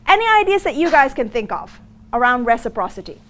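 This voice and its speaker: A woman from Singapore with an accent blending Singaporean and American, giving an interactive business school lecture, asking the class questions and telling animated stories. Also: fairly high pitch, fast. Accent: muddled Singaporean and American.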